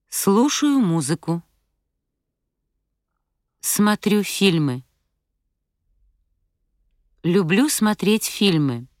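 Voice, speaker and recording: A woman reading Russian practice phrases for learners with careful, clearly articulated delivery. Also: mid-range voice, a little mellow, no room sound, clean studio sound. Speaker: language course speaker.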